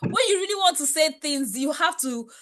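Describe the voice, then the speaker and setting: A woman's voice: high voice, lively intonation, moderate pace, a crowd in the background. A woman leading a motivational money class, speaking emphatically and preachily with many rhetorical questions. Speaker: wealth coach.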